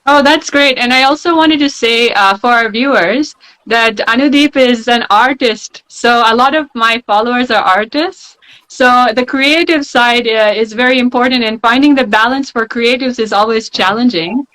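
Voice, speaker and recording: A woman's voice: a little high, moderate pace, poor audio. A woman hosting a panel discussion, encouraging her guests and talking with many fillers. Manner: encouraging, filler-heavy, warm praise of guests. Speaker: panel host. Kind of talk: moderating an online panel.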